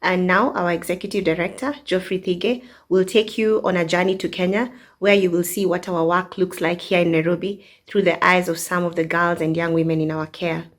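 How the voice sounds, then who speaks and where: A woman with a fairly low voice introduces the next segment politely, reading from a script. Her delivery is measured and courteous. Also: steady tone. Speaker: event host. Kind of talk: event introduction.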